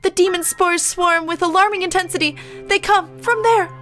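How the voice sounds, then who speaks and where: A woman performs a young male pigeon from an anime visual novel who believes he is a fallen angel. The voice is extremely high and declaims in grandiose, archaic style, full of melodrama, over faint music. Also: moderate pace, fairly bright tone. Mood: urgent, dramatic.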